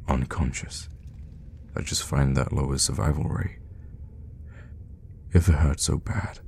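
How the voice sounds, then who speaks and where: A man voicing a sadistic, teasing male vampire captor in a hushed, intimate ASMR roleplay, with a deep, gravelly half-whisper. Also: heavily acted. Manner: slow, hushed, menacingly tender with pet names. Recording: long reverb, faint background noise.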